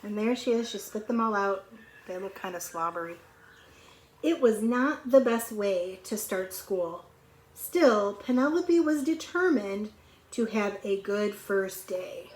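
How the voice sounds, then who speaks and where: A woman's voice: mid-range voice, expressive, a little slow, low-quality recording. A woman teacher reading a storybook aloud to young children, expressively, with conversational asides and questions.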